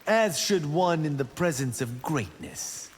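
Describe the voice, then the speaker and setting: A cocky young warrior monk, animated. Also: male voice, on the high side, a little slow. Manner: proud, brash, self-assured. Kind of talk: video game character banter. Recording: long hall reverb, clear background noise.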